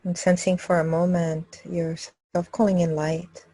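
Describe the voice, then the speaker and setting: Husky-voiced woman leading a calm guided meditation. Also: lowish voice, dry recording. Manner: calm, unhurried, with long pauses between phrases. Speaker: meditation teacher leading a live group session. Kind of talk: guided meditation, slow and gentle instruction.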